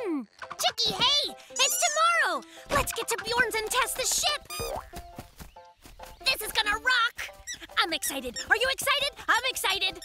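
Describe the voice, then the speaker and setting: Excitable boastful bear cub, very high, lively, cartoon with loud music. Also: female voice, takes it slowly, exaggerated voice. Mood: excited. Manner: hyper, boastful, dramatic storytelling with sound effects.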